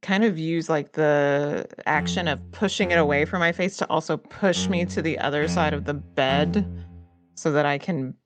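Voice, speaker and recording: A woman's voice: sits low, normal speed, over music. A woman chatting casually as a player in a tabletop role-playing game, speaking informally for her character. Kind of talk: casual improvised game chat.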